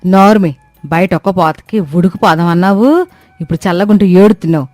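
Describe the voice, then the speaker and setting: A woman's voice: on the low side, a little fast, darkish tone, no room sound, faint music behind, close to an everyday voice. A woman voicing a bossy, stingy village mother-in-law in a cartoon story, with a scolding, exclamatory manner. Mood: scornful. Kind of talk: Telugu comic cartoon story acting.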